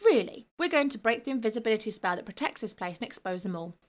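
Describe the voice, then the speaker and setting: A woman's voice: fairly high pitch, expressive, a little fast, fairly bright tone. A woman voicing a bad-tempered, scheming boy who snaps and hisses his lines in a storybook reading. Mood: sneering. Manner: curt, snapping, hissing.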